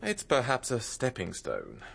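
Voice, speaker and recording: Cautious, cultured impresario. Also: male voice, mid-range voice, huge pitch swings, gravelly, fairly bright tone, slight background noise, heavily acted. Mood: measured, sceptical. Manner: measured, sceptical. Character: cautious, cultured, businesslike male theatre impresario. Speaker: actor in a radio drama. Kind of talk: radio drama acting.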